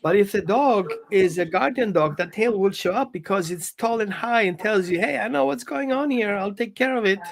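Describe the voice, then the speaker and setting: High-voiced man. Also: moderate pace, vocal fry, hardly any pauses. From Greece and Austria. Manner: non-native phrasing, explains with examples and quoted dog thoughts. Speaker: dog behavior consultant. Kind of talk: explaining on a live show.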